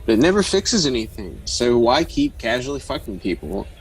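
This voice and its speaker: A man's voice: mid-range voice, very animated, moderate pace, slightly rough, soft background music, low-quality recording. An actor playing a blunt, flirtatious man who talks like a probing amateur therapist in an audio drama. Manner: frank, probing, explanatory.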